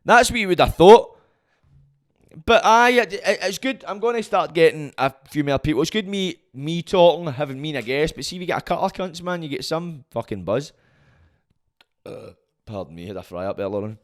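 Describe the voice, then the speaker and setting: A Glaswegian man hosting his own podcast, rambling and swearing freely in a loud, booming voice. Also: lively intonation, moderate pace. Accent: Scottish, Glaswegian. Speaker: podcast host. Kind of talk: casual monologue.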